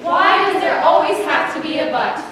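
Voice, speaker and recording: A teenage female student playing a defensive, evasive mother in a high school readers theater piece. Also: expressive, fairly quick. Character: evasive, defensive mother reluctant to criticise her son. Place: large hall, on stage before an audience. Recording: echoey hall, distant mic, faint noise.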